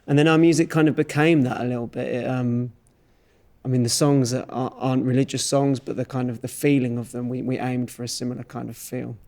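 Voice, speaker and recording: A man's voice: mid-range voice, fairly even intonation, fairly quick, a little mellow. A man, a folk singer and guitarist, chatting casually in an interview in a hesitant, understated way. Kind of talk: relaxed interview chat.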